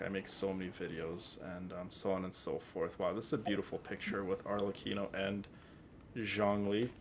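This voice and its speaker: A man's voice: fairly low pitch, a little mellow. A man streaming a video game, chatting casually and earnestly with his viewers. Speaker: streamer and content creator. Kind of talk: casual game livestream chat. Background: game music.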